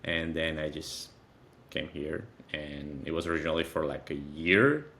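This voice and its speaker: Deep-voiced Venezuelan man, conversational. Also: pushed and bright. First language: Spanish. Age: 30s. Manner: halting, self-correcting, repeats phrases. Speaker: interview guest, content creator. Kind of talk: conversational interview answers.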